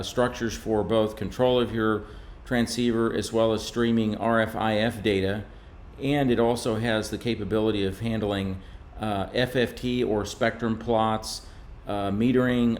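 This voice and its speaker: A man with a fairly low voice explains technical material as a presenter. His delivery is measured and informal, like walking through slides. Faint noise sits behind the voice. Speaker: presenter from a radio maker.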